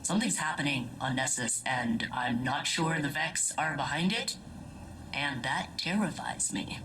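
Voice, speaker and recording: A woman's voice: low-pitched, unhurried, a bit bright, far from the mic, slight background noise, poor audio. A woman playing an eager, perky spaceship AI with wounded undertones in a video game, chirpy and theatrical, swinging between formal and chummy. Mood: uneasy, brooding. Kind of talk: scripted video game dialogue. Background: clear music.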